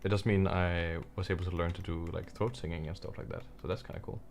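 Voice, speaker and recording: A man's voice: sits low, flat delivery, fast talker, noisy background. A young man on a headset mic, mumbling and thinking aloud while he works on a livestream. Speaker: livestreaming 3D artist. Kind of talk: casual streaming chat while modeling.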